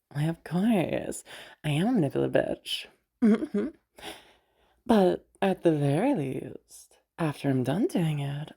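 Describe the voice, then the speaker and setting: A woman playing a teasing, self-assured, sardonic yet protective young woman in a listener roleplay, rambling and halting as she talks. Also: lowish voice, gravelly, warm tone, exaggerated voice. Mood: sarcastic, teasing. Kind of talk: roleplay audio drama addressed to the listener.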